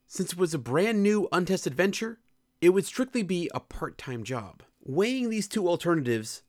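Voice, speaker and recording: A man's voice: fairly high pitch, dry recording, clean studio sound. A young man reading his own personal column aloud in a candid, earnest and reflective way. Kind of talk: scripted personal essay narration.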